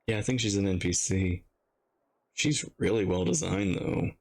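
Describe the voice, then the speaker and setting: A man's voice: deep voice, steady tone, moderate pace, darkish tone, slight background noise. A man casually commentating as he reacts to a video game, with game music behind him. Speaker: gaming reaction streamer.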